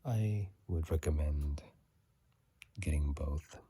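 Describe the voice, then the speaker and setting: A video host whispering softly in a breathy, hushed voice, reading aloud and adding casual commentary in an ASMR style, close to a phone mic. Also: rumbling low, slow, no echo. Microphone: phone mic held close.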